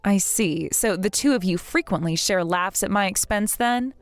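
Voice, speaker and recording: A woman in her thirties voicing a patient, polite bride-to-be who speaks in a soft, measured, restrained way. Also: mid-range voice, dry recording, faint music behind, lightly acted. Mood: hurt. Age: 30s.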